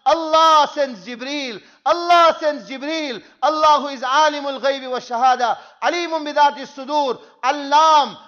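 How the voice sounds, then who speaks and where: Impassioned high-pitched male preacher, muffled recording. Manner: impassioned, repeats phrases for emphasis; rhetorical questions, swings from hushed to shouting. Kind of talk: fervent religious sermon with storytelling.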